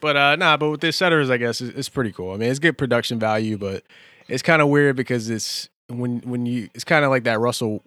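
A man's voice: mid-range voice, fast, bright, forceful tone, no room sound, clean studio sound. An American man co-hosting a casual podcast, talking in loose, meandering, slangy and profane banter. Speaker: podcast co-host.

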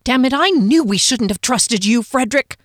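Woman doing a heated male elf, high-pitched, loud, accusing, shrill. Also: animated, moderate pace, dry recording, clean studio sound.

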